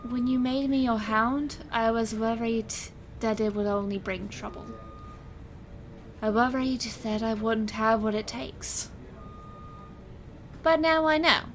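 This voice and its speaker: A woman acts out a supernatural enforcer and illusionist for audio fiction. Her voice sits a little high and moves slowly and deliberately. She sounds weary, resolute and wryly dramatic. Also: slight background noise, natural voice. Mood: sincere, grateful.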